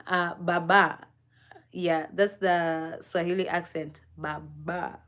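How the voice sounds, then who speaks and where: A young woman from East Africa telling a personal story on a live stream in a reflective, unhurried way, with frequent fillers and soft laughs. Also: lowish voice, little pitch movement.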